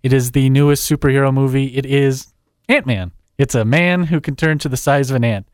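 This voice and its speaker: A man, a guest film critic, giving a wry, deadpan plot summary with quick asides in a studio. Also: mid-range voice, dry recording. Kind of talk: casual chat, plot summary.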